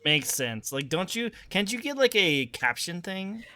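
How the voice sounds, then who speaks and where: A man's voice: high-pitched, sing-song, measured pace, bright, forceful tone, faint music behind. A man hosting an interview on a stream, bubbly and laughing often in a casual chat.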